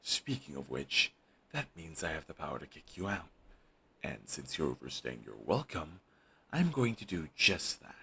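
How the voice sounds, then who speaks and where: Deep, husky, snarky villain voiced by a man for an audio roleplay; dry and dismissive. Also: sing-song, moderate pace, sharp tone, exaggerated voice. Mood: irritated. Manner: sarcastic, dismissive. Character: snarky, grumpy male supervillain, middle-aged. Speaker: voice actor.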